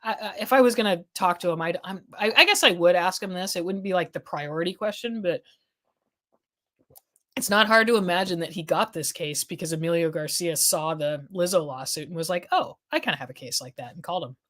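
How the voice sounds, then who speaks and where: A woman with a slightly low voice hosts a casual legal news livestream. She rambles and restarts her sentences while she reads viewer comments. Her voice is getting hoarse as the stream goes on. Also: a little fast, fairly bright tone. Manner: rambling, many restarts and fillers, thinking aloud. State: losing her voice. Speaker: legal affairs journalist hosting a livestream.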